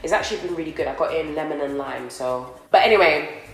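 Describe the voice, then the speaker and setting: A woman's voice: low-pitched, fast, echoey room. A young woman, chatting to her viewers in a casual vlog while doing her makeup, sounding slightly nasal from a recent cold. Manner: rambling and chatty, talks to viewers as friends. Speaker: beauty vlogger. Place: co-working office space, indoors.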